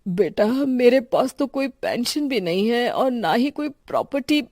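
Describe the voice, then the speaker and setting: A gentle, resigned old father-in-law from a moral tale, voiced by a woman. The delivery is mild and plaintive, with a dark, relaxed tone and a dry, close sound. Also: fairly high pitch, measured pace, no room sound. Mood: humble.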